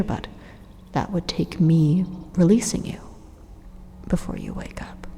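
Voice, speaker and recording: Drenched in long reverb, an evil supercomputer played by a woman speaks in a very breathy half-whisper. It is slow and deliberate, a calmly menacing machine coaxing its listener in an ASMR roleplay. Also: on the low side, exaggerated voice. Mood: quietly menacing. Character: evil robot supercomputer, coolly persuasive, utopian zealot.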